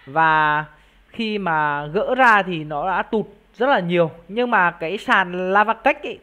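An online video host with a mid-pitched voice gives an informal screen-share review. The delivery is explanatory and addressed straight to the viewers, step by step. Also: fairly bright tone. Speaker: YouTube crypto project reviewer and channel host.

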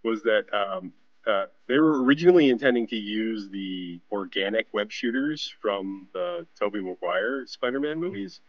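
A man's voice: lowish voice, lively intonation, normal speed, no echo. A man being interviewed, casually telling a story about his work. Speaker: interview guest, animation professional. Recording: band-limited, dull audio. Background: faint music.

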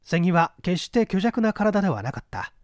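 A man reading literary fiction aloud as a scripted narration, with measured, deliberate phrasing. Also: high voice, sing-song, no echo, clean studio sound. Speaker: audiobook reader, narrator of classic mystery fiction.

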